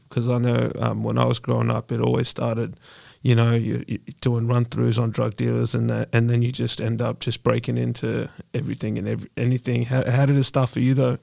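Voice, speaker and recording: A man hosts a podcast interview, his voice heavily gravelly and fried. He speaks in a flat, level tone and stumbles a little through his questions. The recording is dry and close. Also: medium pitch, no echo. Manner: easygoing, halting questions. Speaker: podcast host.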